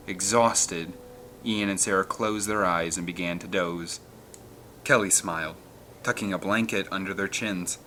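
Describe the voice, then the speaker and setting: A man who hosts and narrates audiobook readings in an expressive, dramatic way, at times speaking casually to listeners. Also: lowish voice, a little hiss or hum. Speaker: narrator and channel host. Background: film score music.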